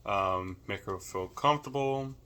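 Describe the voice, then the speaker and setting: A young man with a deep voice records a class assignment, talking casually and thinking aloud with many fillers. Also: a little slow, pushed and bright. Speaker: student. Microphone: camera held or set nearby.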